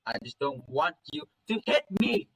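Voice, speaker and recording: A man voicing a defiant, hurt young male animal character in a story, shouting with strained emotion. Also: on the high side, wildly swooping pitch, normal speed, slight background noise, poor audio. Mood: anguished rage.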